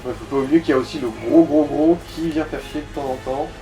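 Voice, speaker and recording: A man with a dark, relaxed voice comments casually on someone's gameplay. His talk is offhand and full of slang. The microphone is a little way off and music runs faintly behind him. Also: on the high side, quick pace. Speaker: co-commentator advising the player. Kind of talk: casual chat over gameplay. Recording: distant mic, music behind.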